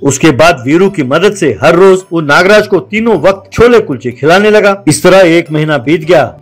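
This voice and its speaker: High-pitched male storyteller over music. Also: animated, normal speed. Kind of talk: scripted story narration.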